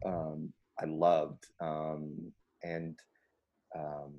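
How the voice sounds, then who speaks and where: A man with a very low, mellow baritone talks loosely about music. He rambles with frequent ums and breaks into easy laughs, relaxed and conversational. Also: extremely slow, lo-fi sound. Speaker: singer. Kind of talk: casual conversation.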